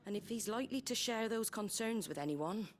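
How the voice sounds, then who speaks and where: A woman's voice: mid-range voice, lively intonation, fairly quick, lightly acted. An actress playing a blunt, practical, caring innkeeper woman in a fantasy video game, with a regional working-class English accent and a folksy, wry way of talking. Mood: earnest, concerned. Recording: music behind the voice.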